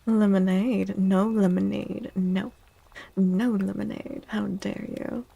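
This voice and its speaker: A woman livestreamer chatting and reading tarot in a soft-spoken ASMR style, playful, teasing and mock-dramatic. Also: mid-range voice, fairly even intonation, slow, vocal fry, husky.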